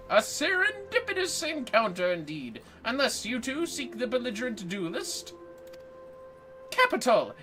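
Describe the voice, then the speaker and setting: Woman as pompous game gentleman hero, grandiose declamation, bright forceful tone, swooping pitch, music behind. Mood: exuberant. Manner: grandiose, florid, exclamatory declamation. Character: pompous, theatrical gentleman inspector, wildly self-important hero.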